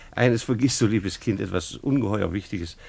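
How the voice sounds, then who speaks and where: A man's voice: fairly low pitch, creaky, a little mellow, husky, full character voice. An actor playing a middle-aged schoolteacher husband in a radio play, ironic and patronizingly patient with his wife.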